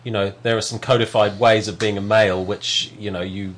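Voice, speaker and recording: A man's voice: fairly low pitch, little pitch movement, brisk. A man hosting an interview, asking long, searching questions and circling back as he talks. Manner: rambling, searching questions with many restarts. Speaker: interviewer host. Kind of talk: casual interview chat.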